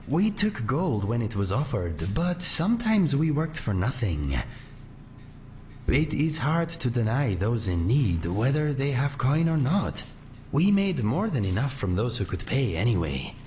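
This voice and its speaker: A man playing a gentle, courteous cat-folk sellsword in a fantasy game, speaking in measured, formal phrases without contractions. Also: medium pitch, sing-song, a little hiss or hum. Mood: fond, reminiscing. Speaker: companion character in a fantasy video game. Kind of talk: scripted game dialogue. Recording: faint music under the voice.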